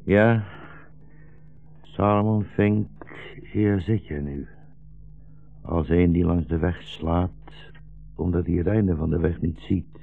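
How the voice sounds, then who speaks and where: An actor playing a weary, resigned old blind rabbi in a 1960s radio drama, measured and formally polite, with a meek irony. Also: male voice, sits low, fairly even intonation, vocal fry, full character voice. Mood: solemn musing. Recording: dull 1960s radio recording, music behind.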